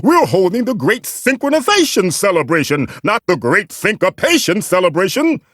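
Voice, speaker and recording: A man's voice: sits high, sing-song, normal speed, fairly bright tone, no room sound, studio quality, exaggerated voice. A man voicing a fussy, theatrical mystical guide in a cartoon video game, formal and earnest in delivery. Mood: alarmed.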